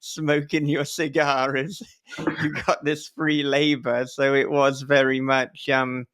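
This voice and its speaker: A man hosting a casual interview podcast, speaking in halting, thoughtful phrases as he talks with a guest. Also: mid-range voice, little pitch movement, a bit bright.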